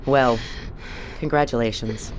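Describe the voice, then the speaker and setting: A woman playing a composed, authoritative spaceship captain in an audio drama, measured and businesslike. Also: extremely low pitch, steady tone, a little hiss or hum. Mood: calm, probing. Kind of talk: naturalistic audio drama acting.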